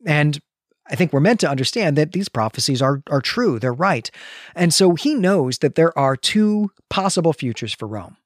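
A man co-hosting a literary podcast, explaining and analysing in a measured, articulate way. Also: fairly high pitch, sing-song, no echo, studio quality. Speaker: podcast host.

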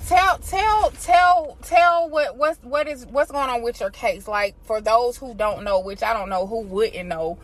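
A woman's voice: a little high, a little slow, few pauses. A woman hosting a casual interview while driving, speaking informally and emphatically with streetwise phrasing and repeated words. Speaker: podcast host and interviewer. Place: inside a car while driving. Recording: noisy in-car recording with road noise. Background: vehicle noise, GPS navigation voice.

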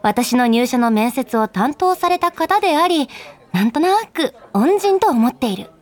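A voice actress playing a young woman office worker in a radio drama, polite, expressive and cheekily blunt. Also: sits high, moderate pace. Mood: confiding narration. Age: young adult. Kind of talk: anime-like radio drama acting with first-person narration.

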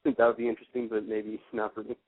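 A man of twenty calling in to a radio show, quick and a little mumbly. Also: lowish voice, monotone. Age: 20s. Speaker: caller. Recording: tinny phone line, dry.

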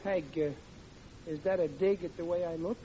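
High-voiced touchy dad, played by a man, noisy old radio. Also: fairly even intonation, moderate pace, dark tone, dull audio, lightly acted. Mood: wounded, touchy. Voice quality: ungrammatical working-class speech. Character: touchy, blustering working-class family man and father. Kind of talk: 1940s radio comedy acting.

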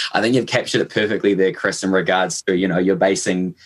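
Low-voiced New Zealand man, relaxed. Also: a little fast, slightly rough. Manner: relaxed, hedging with fillers. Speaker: podcast guest, e-commerce manager. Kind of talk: casual interview answers.

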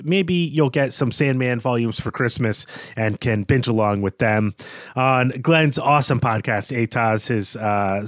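A man's voice: mid-range voice, dry recording. Man discussing books in a dry recording. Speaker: podcast co-host. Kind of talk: literary discussion podcast.

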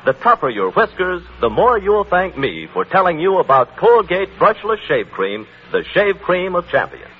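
Husky American radio announcer, 1940s style. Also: male voice, sits high, big pitch swings, moderate pace, few pauses. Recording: muffled vintage 1940s radio broadcast.

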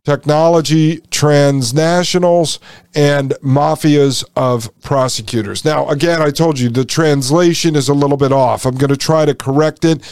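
A man's voice: medium pitch, darkish tone, clear, resonant tone, no echo. A man hosting a solo podcast, chatty and addressing listeners as folks, while losing his voice. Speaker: podcast host.

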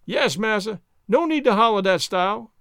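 A fearful yet stubborn old servant from a storybook, acted out by a man. The pitch is high and swings about in animated fashion. The speech is folksy dialect with dropped words and exclaimed protests, recorded very dry. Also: normal speed, creaky, no echo, heavily acted. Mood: eager, protesting. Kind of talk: audiobook character voice.

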